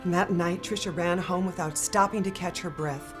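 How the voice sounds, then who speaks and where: A woman reading a children's picture book aloud in a gentle, expressive storytelling manner. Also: fairly low pitch, normal speed. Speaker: storybook reader. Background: soft guitar music.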